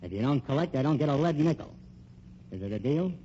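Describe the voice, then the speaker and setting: A dull, faintly noisy old radio recording carries an actor playing a slick American private eye on the make. His pitch swings widely as he pushes and wisecracks in tough-guy slang, with menace under the patter. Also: expressive, quick pace, dark tone, natural voice. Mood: pushy, scheming. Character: slick, menacing male private detective and con man. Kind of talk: old-time radio crime drama acting.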